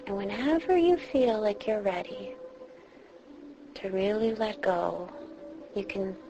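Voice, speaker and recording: Female meditation guide, very unhurried and soothing, reassuring delivery with long pauses, noticeable ambient noise. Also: medium pitch, dark tone. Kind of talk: guided meditation, instructing a listener. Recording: clearly noisy background ambience.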